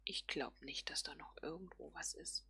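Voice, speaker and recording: A woman giving casual game commentary, musing softly and half whispering to herself. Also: low voice, normal speed, creaky, slightly rough. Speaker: let's player.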